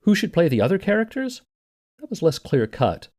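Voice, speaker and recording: A man's voice: sits high, wildly swooping pitch, normal speed, over music. A man narrating a scripted documentary podcast as its host and interviewer.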